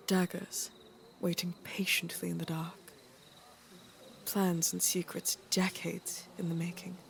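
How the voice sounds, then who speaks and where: A woman playing an aging, regal queen and mother in a fantasy audio drama, speaking in a measured, deliberate and wry way. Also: lowish voice, a little hiss or hum. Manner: measured, deliberate, dryly teasing.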